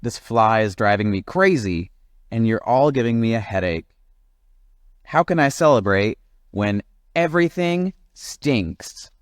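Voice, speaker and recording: A man's voice: medium pitch, expressive, a little slow, clear, resonant tone, no echo, studio quality, pitched above the performer's own voice, close to an everyday voice. A man voicing a grumpy, complaining monkey in a storybook, whiny and exasperated.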